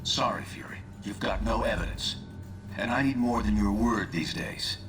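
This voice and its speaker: A man plays a stern resistance leader in a video game. The voice is low and unforgiving, coolly distrustful, with music playing clearly behind it. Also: moderate pace, close to an everyday voice. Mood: cold, distrustful. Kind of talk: video game cutscene acting.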